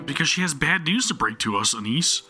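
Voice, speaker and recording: A man streaming a live game reaction, talking excitedly with stammers and jokes. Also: a little high, brisk, soft background music.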